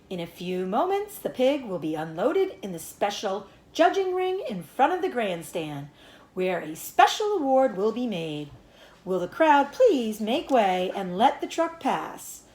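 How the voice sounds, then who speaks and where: Lady putting on a grand fairground announcer for a storybook, proud and booming, swoops high, roomy echo. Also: big pitch swings, measured pace, a little mellow. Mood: grand, proclaiming. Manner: booming, stretched-out, formal proclamations. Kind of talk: storybook read-aloud character voice.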